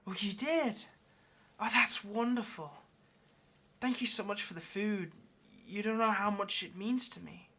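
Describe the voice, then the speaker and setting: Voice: medium pitch, measured pace, sharp tone, breathy edge, heavily acted. A performer playing a lonely, pleading, affectionate caged demon in an intimate ASMR roleplay, speaking in a hesitant, coaxing way. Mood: grateful, gentle. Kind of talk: ASMR roleplay, intimate one-sided conversation.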